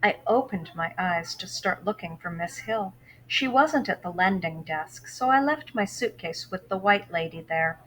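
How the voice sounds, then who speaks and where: A woman's voice: fairly low pitch, expressive, a little slow, fairly bright tone, a little hiss or hum, low-quality recording. A woman teacher reading a novel aloud to students, giving instructions in between and telling the story expressively. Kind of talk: read-aloud lesson for students.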